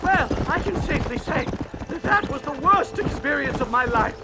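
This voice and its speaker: A man's voice: very high voice, animated, moderate pace, very noisy. An actor playing a fussy, flustered professor in a western video game, stammering and florid in his speech. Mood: relieved, dramatic. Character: jittery pompous male Yale professor, flustered and theatrical.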